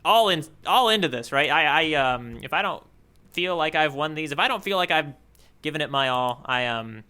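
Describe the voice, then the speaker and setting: A man co-hosting a podcast, chatting casually about comics in a halting way, often restarting his sentences. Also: fairly high pitch, animated, pushed and bright, smooth. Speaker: podcast co-host. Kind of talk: casual chat about a manga.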